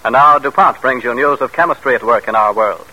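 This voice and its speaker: A man's voice: mid-range voice, brisk, sharp tone, slightly rough. An American man hosting a 1940s radio program with formal, dramatic scripted narration. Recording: dull vintage radio recording.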